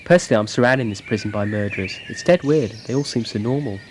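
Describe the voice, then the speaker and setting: A man reading personal letters aloud in a brisk, conversational way. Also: mid-range voice, a little hiss or hum.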